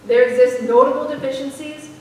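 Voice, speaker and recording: A woman's voice: high-pitched, steady tone, a little fast, dark tone, close to an everyday voice. A woman playing a young activist who raps, in a staged reading recorded from a distance in a hall. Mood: earnest, stern. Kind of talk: staged reading, part report part casual dialogue. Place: theater stage at a live reading. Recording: echoey hall from far, faint noise.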